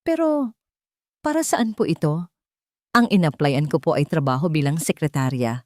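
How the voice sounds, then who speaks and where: A woman's voice: sits low, lively intonation, normal speed, dry recording, studio quality. A woman voicing a proud young female job applicant, respectful and dignified, in a romance audio story. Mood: nervous, confused. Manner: hesitant, respectful, emphatic.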